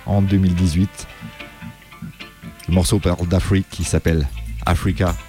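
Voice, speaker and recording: A man hosting a radio show, asking a guest questions in a casual, relaxed way. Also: sits low, normal speed, faint music behind. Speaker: radio host. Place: radio studio.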